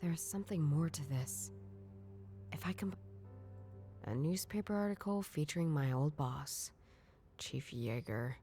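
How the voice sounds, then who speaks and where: Game role: a sardonic, hard-drinking female noir detective, low and dark-voiced, deadpan inner monologue. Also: a little slow, long pauses, soft background music. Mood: musing, deadpan. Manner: dry, weary, deadpan.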